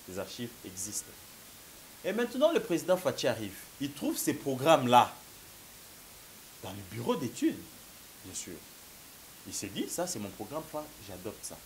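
A man's voice: mid-range voice, low-quality recording. Emphatic Congolese man with a cold. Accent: Congolese French. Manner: emphatic, gesturing, repeats "mon cher ami". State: has a cold. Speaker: journalist and political analyst. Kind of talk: political talk show debate. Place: TV studio. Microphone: lapel. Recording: faint hiss.

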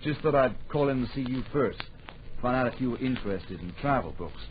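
A man's voice: mid-range voice, animated, brisk, natural voice. Man acting earnest young radio-drama adventurer. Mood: earnest, polite. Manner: earnest, deferential. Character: polite, persistent young male would-be adventure writer. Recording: dull, hissy old radio recording.

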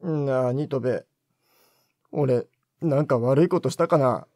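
A man's voice: mid-range voice, takes it slowly, warm tone, no room sound, close to an everyday voice. A man playing an emotional senior studio man with an intense, blunt manner in a Japanese audio drama. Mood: despondent. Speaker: voice actor.

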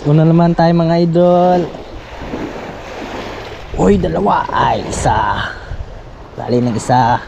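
A Filipino man on a sunny beach who fishes and talks to his viewers, excitable and repeating himself, recorded on a head-mounted action camera. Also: fairly high pitch, slow pace, gravelly, long pauses, dull audio. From Philippines. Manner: excitable, repeats phrases, calls viewers "idol". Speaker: fishing vlogger. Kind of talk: casual commentary to viewers while fishing. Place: sunny sandy beach by the sea, outdoors. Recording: outdoor camera mic with wind and sea noise.

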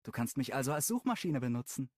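A man's voice: mid-range voice, sing-song, quick pace, no room sound, clean studio sound, pitched above the performer's own voice. A male audiobook reader voicing a friendly, chatty young woman, raising his voice for her lines. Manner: raised, bright-sounding delivery. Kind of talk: audiobook dialogue reading.

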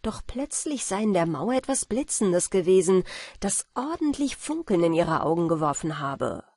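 A woman narrating a bedtime fairy tale audiobook in a gentle, measured storytelling manner. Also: on the low side, big pitch swings, no room sound, studio quality.

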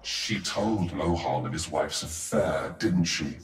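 An actor voicing a tyrant's inner demon in a video game, mocking and needling in a deliberate way. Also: male voice, a bass voice, echoey room, distant mic, slight background noise. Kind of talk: video game dramatic acting.

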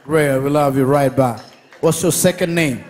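A Kenyan man hosting a live comedy show on a studio stage, speaking with a Kenyan English accent into a handheld mic and shouting announcements to the crowd. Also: a little high, normal speed. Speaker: talk show host. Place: large indoor studio stage with audience. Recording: echoey studio hall, faint music.

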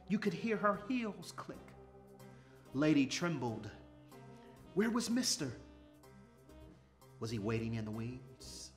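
A man in his thirties reading a story aloud to children in a measured, expressive way. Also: a little high. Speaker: story reader. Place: small furnished room, indoors.

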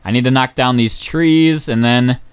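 A young man giving casual, rambling gameplay commentary as a channel host, excitable and swearing often, his voice going hoarse. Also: medium pitch, moderate pace. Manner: rambling, excitable, swears, many ums and uhs.

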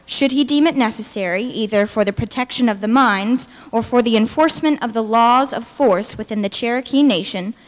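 A young woman giving a measured, formal dramatic reading of an old legal document at a podium microphone. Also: fairly high pitch. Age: young adult. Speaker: dramatic reader. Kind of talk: formal read-aloud of historical legal text. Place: indoor hall with brick walls, small audience. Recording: reverberant hall.

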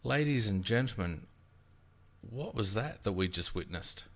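A man's voice: fairly low pitch, expressive, a little slow. An Australian man hosting a casual sports podcast, chatty and easygoing. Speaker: host.

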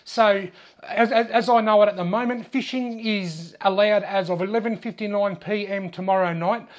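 An Australian man in his forties, a fishing channel host, talking casually to camera in a small shed with a lapel mic, chatty and restarting his sentences often. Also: high voice. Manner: chatty, gestures with his hands, frequent restarts. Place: small indoor shed room.

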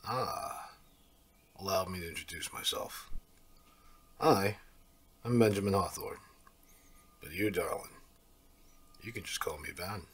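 A man's voice: sits low, unhurried, pauses often, breathy edge. A man playing a taunting, smug werewolf rival in an ASMR audio drama, with a theatrical, mocking drawl. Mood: mocking, breezy. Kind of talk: ASMR audio roleplay acting.

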